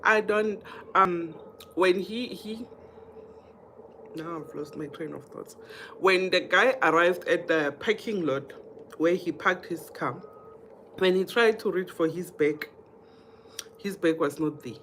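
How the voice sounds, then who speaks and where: A woman's voice: on the low side, takes it slowly, long pauses, fairly bright tone, slight background noise, low-quality recording. A woman from South Africa with a South African accent, hosting a casual livestream, chatting directly to viewers and often repeating herself. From Mpumalanga, South Africa. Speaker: livestream host. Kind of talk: casual live chat, reading comments and telling anecdotes.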